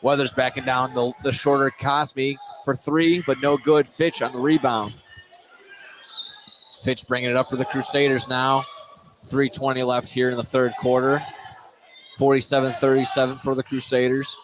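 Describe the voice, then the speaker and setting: A man's voice: mid-range voice, flat delivery, moderate pace. A man giving live play-by-play commentary as a basketball announcer. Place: indoor gym at a basketball game. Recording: loud gym noise behind the voice. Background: loud game ambience.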